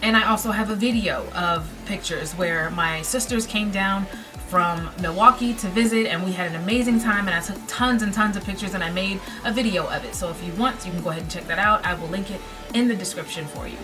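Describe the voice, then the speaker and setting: A woman's voice: medium pitch, brisk, recorded from a distance, over music. A woman in her thirties vlogging casually to camera in her bedroom, with a projecting voice. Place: dim bedroom with string lights. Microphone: built-in camera mic.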